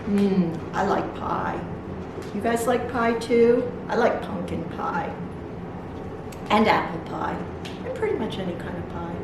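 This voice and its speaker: A woman's voice: mid-range voice, lively intonation, a little slow. A woman reading a picture book aloud to young children, adding simple explanatory asides as a library storytime reader. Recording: very echoey room, distant mic, noisy.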